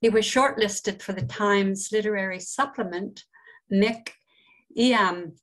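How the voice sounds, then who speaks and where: A woman's voice: mid-range voice, takes it slowly, a bit bright, rough recording. A woman from Canada hosting a book launch on a video call, introducing the author by reading his bio plainly and stumbling over a prize name. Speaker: event host.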